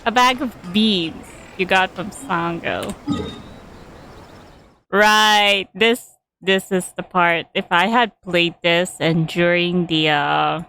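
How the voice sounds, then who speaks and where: A woman's voice: fairly low pitch, fairly even intonation, takes it slowly, sharp tone, clean tone. A woman streaming a game with casual commentary, hesitantly thinking aloud as she goes.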